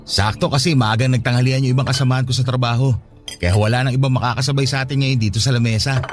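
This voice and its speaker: A man acting as a hardworking young farm laborer and protective big brother in a radio drama. He talks quickly and earnestly, at a middling pitch. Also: steady tone, soft background music, natural voice. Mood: casual, friendly. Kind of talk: Tagalog radio drama dialogue.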